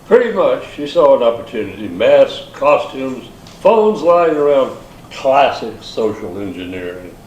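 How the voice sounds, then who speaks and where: A man reading the part of a wry police detective in an amateur readers theater, deliberate in manner. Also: medium pitch, sing-song, darkish tone, lo-fi sound. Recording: echoey room from far off, faint noise.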